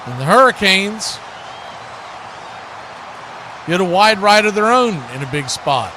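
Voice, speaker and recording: A man calls a football game live over clear background noise. He talks conversationally, moving between play calls and his own take on the action. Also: high-pitched, big pitch swings, unhurried, sharp tone. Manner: conversational, mixes calls with opinion. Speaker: play-by-play announcer for a fan watch-party stream. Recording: clearly noisy live stream audio.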